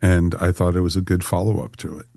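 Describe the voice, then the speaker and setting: A man with a very deep voice chats as a podcast panel host. The sound is dry and close, and his delivery is casual and conversational, as in a relaxed group review. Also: fast, vocal fry, no room sound. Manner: conversational, punctuated with "you know". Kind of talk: casual discussion, reviewing a story.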